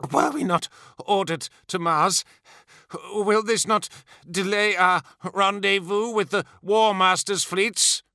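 A man's voice: a little high, animated, slow, creaky, pushed and bright, smooth, no room sound, clean studio sound, pitched above the performer's own voice, full character voice. A man voicing a frightened, pleading warrior commander in an audiobook, with a strained, faltering delivery. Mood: strained questioning. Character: cowed, pleading male warrior commander, anxious subordinate. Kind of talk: dramatic audiobook character voice.

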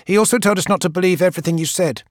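Man playing an earnest, pleading young woman; higher, raised voice, dry audiobook sound. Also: moderate pace, no echo, close to an everyday voice. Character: young woman wagon guide, earnest and tearful.

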